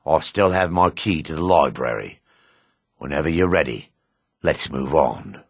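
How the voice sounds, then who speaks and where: An actor playing a devout, remorseful dark elf priest in a fantasy video game, speaking formally and gravely with a raspy voice. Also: male voice, rumbling low, animated, dry recording, clean studio sound, exaggerated voice. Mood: earnest, reassuring. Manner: formal, measured, grave delivery.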